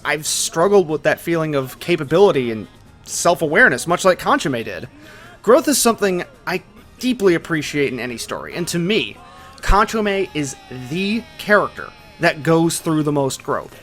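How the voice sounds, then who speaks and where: Male video essayist, slightly high voice, casual and conversational, scripted narration with music behind. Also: sing-song, moderate pace, fairly bright tone. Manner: casual, conversational, with colloquial asides.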